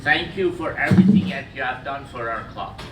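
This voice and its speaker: A man presenting club awards at a gala, reading the certificates aloud in a formal, measured way. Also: mid-range voice, expressive. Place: large banquet hall. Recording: echoey hall, far-off mic, background noise.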